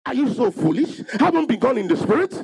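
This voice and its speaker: Guy preaching a fired up sermon, really high pitched raspy creaky voice, repeats his lines for emphasis. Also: very animated, fast, darkish tone. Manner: emphatic, declarative, repeating phrases for effect.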